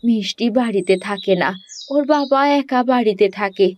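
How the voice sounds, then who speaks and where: A woman voicing a gentle, weary village mother in a cartoon, speaking in a caring, resigned way. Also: on the high side, normal speed, close to an everyday voice. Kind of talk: Bengali animated fairy-tale voice acting.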